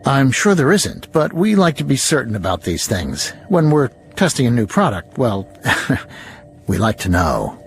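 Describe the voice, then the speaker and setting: Creaky, affable psychologist. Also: male voice, medium pitch, wildly swooping pitch, unhurried, faint music behind, full character voice. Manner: hesitant, placating. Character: affable, slightly awkward company psychologist humouring children. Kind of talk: naturalistic radio drama acting.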